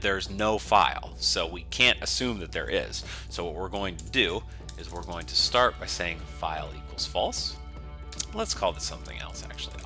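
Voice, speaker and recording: A man with a low-pitched, strikingly bright and forward voice leads a software tutorial. He explains each step conversationally while he works, in an informal screencast style. Faint music can be heard with the voice. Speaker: software developer giving a coding tutorial.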